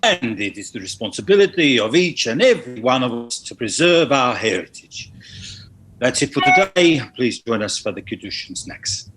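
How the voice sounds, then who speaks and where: A man acts an earnest male rabbi addressing his congregation in a script read. His delivery is formal yet lively, at a middle pitch. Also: moderate pace, poor audio. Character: rabbi wrapping up a sermon. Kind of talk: sermon, acted at a table read.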